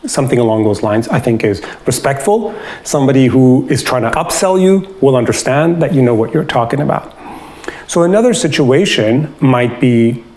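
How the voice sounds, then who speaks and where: A man's voice: medium pitch, animated, normal speed, a little mellow. Man giving practical, instructive advice. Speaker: host, doctor giving travel health advice. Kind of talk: explanatory advice talk with acted-out example dialogue.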